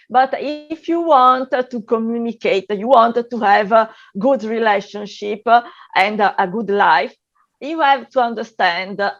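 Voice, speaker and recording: A woman from Italy with an Italian accent, a guest on a video panel, talking with many pauses and filler sounds. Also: fairly high pitch, dull audio.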